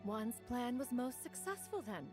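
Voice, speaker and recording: Imperious female immortal for a game: pointed, formal, expressive, slightly high. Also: measured pace, music in the background. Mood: stern, pointed. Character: haughty, exacting female immortal, coolly displeased. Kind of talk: video game voice acting.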